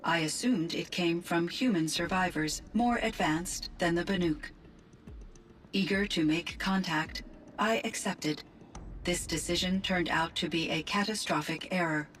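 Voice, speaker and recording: A woman voicing a gentle, courteous artificial intelligence in a video game, with precise, measured, formal diction. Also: on the low side, a little hiss or hum, lightly acted. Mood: troubled, confiding.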